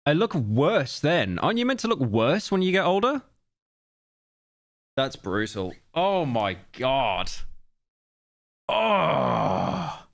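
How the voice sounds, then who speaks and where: A man in his late twenties streaming a reaction video, talking casually and rambling, with self-deprecating asides and sudden loud outbursts. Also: fairly high pitch, big pitch swings, slow pace, creaky, pauses often, dry recording, clean studio sound. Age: late 20s.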